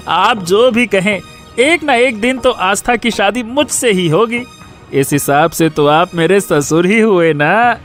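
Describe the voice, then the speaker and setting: A man playing a spoiled, menacing young bully from a rich family in a story, taunting with drawn-out words. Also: high-pitched, fairly bright tone, soft background music. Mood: mocking, gloating. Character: menacing, mocking young village bully, rich merchant's son. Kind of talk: Hindi audio story dramatic acting.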